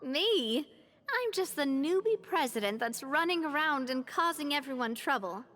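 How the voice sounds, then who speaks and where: A woman's voice: sits high, lively intonation, normal speed, fairly bright tone, no room sound. A woman voicing an earnest, upbeat young woman who leads an organization in a video game, talking in a reflective, friendly way. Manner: earnest and reflective, turning playful and self-deprecating. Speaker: president of a organization.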